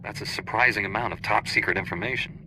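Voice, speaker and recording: Game hero: steady, direct, confident, strikingly forceful bright tone. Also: male voice, lowish voice, fast, a little hiss or hum, rough recording. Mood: questioning. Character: confident, steady heroic Jedi warrior. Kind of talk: video game voice acting.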